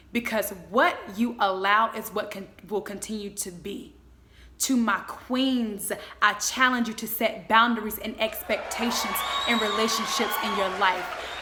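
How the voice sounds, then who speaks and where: A woman in her twenties giving a motivational faith talk, speaking in an emphatic, exhorting way with deliberate repetition. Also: mid-range voice, pushed and bright, a little hiss or hum. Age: 20s.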